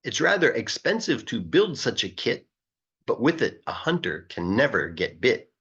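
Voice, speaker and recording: A man reads rhyming children's verse aloud in a playful, emphatic way. His mid-pitched voice moves up and down in a very animated way and has a rough, husky grain. The recording is a little dull. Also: normal speed. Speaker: English teacher reading aloud. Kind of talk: expressive read-aloud of a rhyming children's book.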